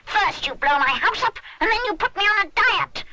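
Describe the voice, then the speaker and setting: A woman's voice: very high voice, animated, normal speed, heavy background noise, pitched above the performer's own voice. Woman doing a squawking spiky little creature. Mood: furious. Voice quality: squawking, screaming. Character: spiky little male creature, furious and sulky.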